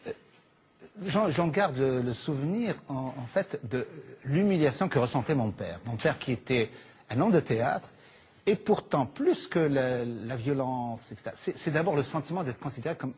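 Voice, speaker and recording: Man of algerian origin, husky breathy voice, earnest, hesitant starts, studio interview. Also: medium pitch, lively intonation, normal speed, a little mellow. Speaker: author guest.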